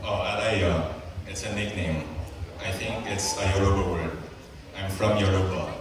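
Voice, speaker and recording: A young man acting a courteous, self-assured, gently teasing young Nigerian student in an amateur stage play. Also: low voice, steady tone, normal speed, crowd noise, close to an everyday voice. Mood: polite. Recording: echoey hall from far off, music behind.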